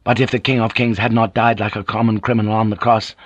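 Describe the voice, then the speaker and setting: A man's voice: fairly low pitch, little pitch movement, quick pace, no room sound, muffled recording, close to an everyday voice. A male narrator acts a young Jesuit brother of samurai birth in a dramatic storybook reading. He is proud and impassioned, keeping polite forms while pressing his point bluntly, in a dry recording. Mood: proud, insistent. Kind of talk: dramatic audiobook character acting.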